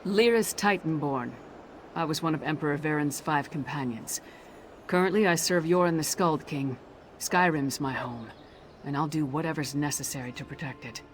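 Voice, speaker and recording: A woman's voice: deep voice, moderate pace, slight background noise, natural voice. A woman playing a blunt, loyal and confident Nord warrior in a video game, speaking with firm, dry self-assurance. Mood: proud, resolute. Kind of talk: video game character dialogue.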